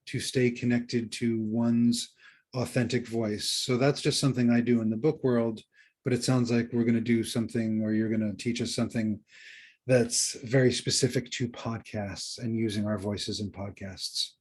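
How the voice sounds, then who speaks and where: A man co-hosting a podcast in a conversational style, somewhat halting and repeating phrases for emphasis. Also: mid-range voice, level tone, darkish tone, slightly rough. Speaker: podcast co-host and book coach.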